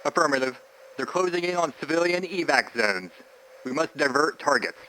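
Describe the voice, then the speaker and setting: An actor as a stern, high-pitched air commander. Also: male voice, lively intonation, normal speed, sharp tone. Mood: firm, businesslike. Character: stern, by-the-book male military air commander. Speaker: military flight controller or wingman. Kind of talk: radio drama military transmission. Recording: noisy military radio transmission.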